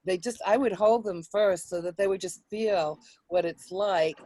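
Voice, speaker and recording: A woman speaking in a reflective, halting way, as in reading a poem or recalling personal experiences. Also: mid-range voice, mellow, dry recording, rough recording.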